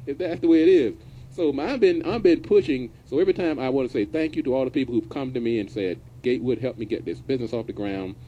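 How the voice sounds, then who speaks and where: A man's voice: medium pitch, fast talker, warm tone, highs cut off, slight background noise. A middle-aged man hosting a business radio show, talking in a folksy, casual way and now and then dropping verbs. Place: radio studio.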